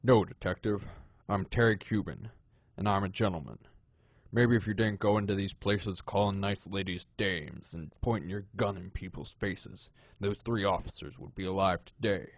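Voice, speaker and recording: A man playing a suave, menacing gentleman crime boss in an audio drama, unhurried and courtly in manner. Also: low-pitched, gravelly, no echo. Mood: condescending.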